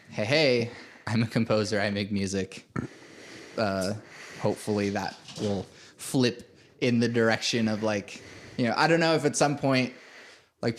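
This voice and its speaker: A man from Venezuela, a composer, chatting casually in an interview, rambling with many fillers and frequent laughs. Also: medium pitch. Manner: rambling, full of likes and fillers, laughs often. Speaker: composer and podcast host, interviewed as guest.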